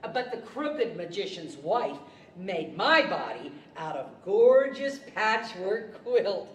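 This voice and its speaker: A woman's voice: medium pitch, big pitch swings, slow pace. A woman playing a living patchwork doll, giddy, vain and giggly, with singsong radio-play delivery. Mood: giddy, boastful. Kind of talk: 1940s radio-style stage acting. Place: library stage, microphones on stands. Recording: echoey hall from far off.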